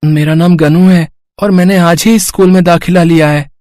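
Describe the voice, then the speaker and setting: Man doing a kind boy-god in a storybook, soft encouraging voice, a touch high-pitched. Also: steady tone, moderate pace, dry recording, clean studio sound, natural voice. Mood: gentle. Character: gentle boy Ganu, god Ganesh in disguise.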